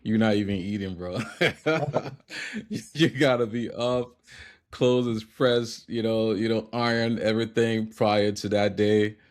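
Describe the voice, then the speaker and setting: A man from Liberia in his thirties speaks with a very low, deep voice. He talks in a relaxed, reflective way, pausing often with fillers as a guest in a conversational interview.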